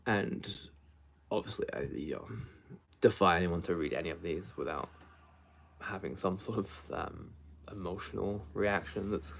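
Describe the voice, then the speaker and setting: A man's voice: sits low, creaky, fairly bright tone, slight background noise. A man chatting casually and hesitantly as he reviews books, often trailing off and rephrasing mid-thought. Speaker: book reviewer hosting a live video. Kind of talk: casual rambling chat to viewers.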